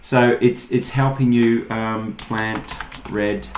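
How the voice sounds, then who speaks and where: A man's voice: mid-range voice, steady tone, slow pace, a little hiss or hum. An Australian man hosting an informal online tutorial, explaining things step by step in an echoey room. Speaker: online marketing tutorial host. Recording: echoey, roomy sound.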